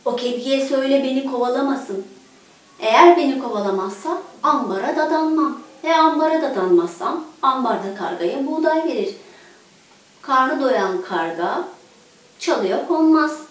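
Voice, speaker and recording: A woman's voice: high voice, expressive, moderate pace. A woman voicing a timid storybook mouse, bargaining urgently and explaining step by step. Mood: anxious bargaining. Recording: echoey room, distant microphone.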